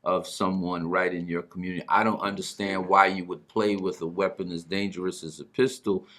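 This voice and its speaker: A man's voice: deep voice, little pitch movement, a little fast, a bit bright. A middle-aged man hosting a talk show, speaking conversationally and earnestly, often repeating words as he goes. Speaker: broadcast host.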